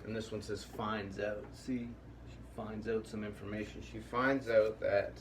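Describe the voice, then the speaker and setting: A man's voice: fairly low pitch, little pitch movement, a little slow. A man hosting a workshop in a casual, improvised way, rambling as he thinks aloud. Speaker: puppetry workshop host. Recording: distant mic with faint room noise.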